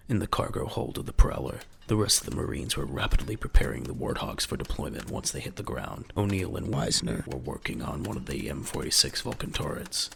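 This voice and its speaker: A man narrating a scripted audio drama in a measured, dramatic, gravelly voice. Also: a bass voice, noisy background.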